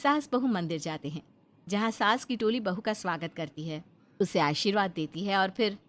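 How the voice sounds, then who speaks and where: A woman's voice: medium pitch, moderate pace, faint music behind. A woman storyteller reading scripted narration for a family tale.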